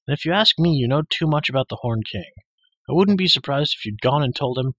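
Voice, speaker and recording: A man's voice: mid-range voice, animated, fairly bright tone, breathy edge, dry recording, pitched above the performer's own voice, rough recording. A man voicing an anxious, hot-tempered young pig keeper with a raised, urgent manner. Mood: angry. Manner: quick to anger, raised and urgent. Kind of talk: audiobook character voice.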